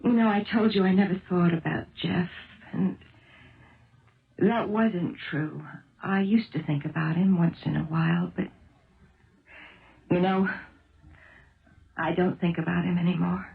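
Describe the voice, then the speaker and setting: An actress playing a determined, emotional wife turned amateur sleuth in a 1970s radio drama, earnest and dramatic. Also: female voice, fairly low pitch, fairly even intonation, unhurried, vocal fry, long pauses. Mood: tender, apologetic. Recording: muffled, roomy 1970s radio recording.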